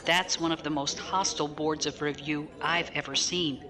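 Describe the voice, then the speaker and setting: A woman's voice: deep voice, fairly even intonation, normal speed, crowd noise, lightly acted. A woman playing a no-nonsense military defense lawyer in an audio drama, with a blunt, clipped, dry manner. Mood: frank, businesslike.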